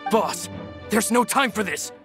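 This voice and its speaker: A man's voice: sits high, animated, unhurried, music in the background. A man playing a loyal, fervent henchman in a video game, speaking in an emphatic, exclamatory way. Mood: indignant, urgent. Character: loyal, fervent male henchman of a gang boss.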